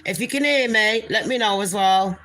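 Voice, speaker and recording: Woman, nasal voice, middle pitch, rambling casual livestream chat, gossip host. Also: moderate pace, fairly bright tone, slight background noise, poor audio. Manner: chatty, rambling, calls viewers people and darlings. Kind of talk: casual live chat to viewers.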